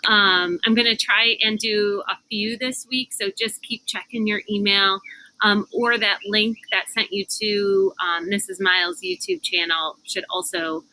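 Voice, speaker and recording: A woman's voice: mid-range voice, steady tone, measured pace, pushed and bright, rough recording. A woman reading a children's book aloud outdoors on a porch, adding casual asides as she goes. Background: cicadas and air conditioner hum.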